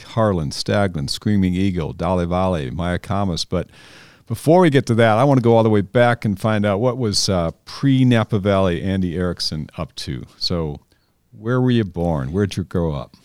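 A man's voice: deep voice, a little mellow, dry recording, studio quality. A man from the Chicago area hosting a wine podcast, friendly and joking as he chats with a guest. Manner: friendly, upbeat, jokey. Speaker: podcast host and vintner. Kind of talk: casual interview chat.

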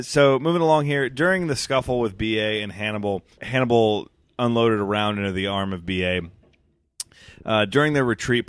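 A man co-hosting a casual film podcast, telling and debating the story in a measured, tongue-in-cheek way. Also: on the low side, a bit bright, clear, resonant tone, dry recording. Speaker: podcast co-host.

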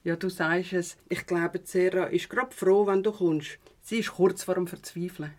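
A woman's voice: on the low side, fairly even intonation, unhurried, darkish tone. A woman with a Swiss German accent playing an easygoing, supportive mother of a teenager in a youth radio play. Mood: cheerful welcome. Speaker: actor in an audio drama.

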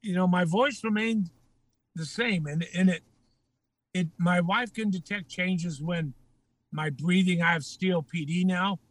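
An older man with a Texan accent tells stories as a guest, laughing often. His voice sits high for a man and his voice is slightly changed by breathing trouble. The sound is dry and close. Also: a little slow, no echo. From Texas. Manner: laughing, anecdotal storytelling. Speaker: guest, former TV news anchor. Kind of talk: casual interview.

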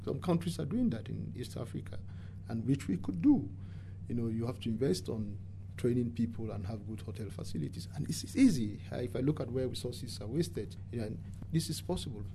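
A man from Cameroon with a Cameroonian accent gives earnest, halting interview answers, often repeating words for emphasis. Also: medium pitch, expressive, gravelly, warm tone, slight background noise, low-quality recording. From English-speaking Cameroon. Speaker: environmental activist and interviewee.

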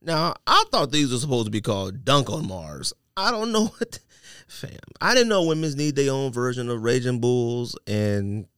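A man's voice: medium pitch, very animated, normal speed, a bit bright, clean tone, no echo, clean studio sound. A man hosting a casual podcast chat about sneakers and sports, opinionated and slangy. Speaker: podcast host. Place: small podcast studio, indoors.

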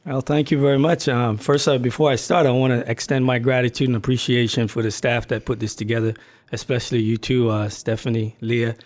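Flat-voiced man from Barbados. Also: mid-range voice, a little fast. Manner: hesitant, many fillers and restarts. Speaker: agency communications staffer, podcast guest. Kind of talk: casual podcast chat.

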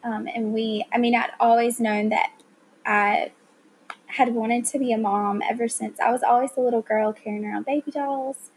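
A woman's voice: on the high side, creaky, a little mellow, a little hiss or hum, low-quality recording. A woman in her thirties with a Southern accent, telling a personal story earnestly in a conversation. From South Carolina. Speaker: guest. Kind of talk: casual conversation.

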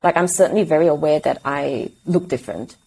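A woman's voice: deep voice, fairly quick, mellow, husky, rough recording. A woman from Singapore with a Singaporean accent, answering interview questions in a reflective, tentative conversational way. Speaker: interview guest.

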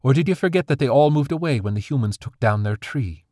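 Strict fairy mom, storybook reading, stern and emphatic, as a man puts her on; lively pitch, dry sound. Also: fast, no room sound, lightly acted. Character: strict, scolding mother fairy.

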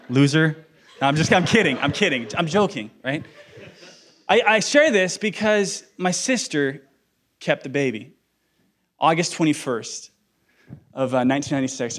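A man in his thirties, a pastor, giving a sermon with personal stories, joking and self-deprecating, then earnest. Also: on the high side. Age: 30s.

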